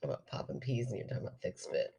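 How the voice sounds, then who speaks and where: A woman's voice: rumbling low, very animated, quick pace, vocal fry, warm tone, a little hiss or hum. A woman co-hosting a podcast in casual comedic chat, giggly, exclamatory and given to swearing. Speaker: podcast co-host.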